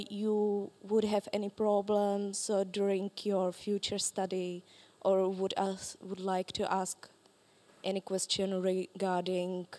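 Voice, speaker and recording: A woman in her twenties, a student ambassador, speaking quickly and eagerly on a panel. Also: mid-range voice, dark tone. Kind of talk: panel talk.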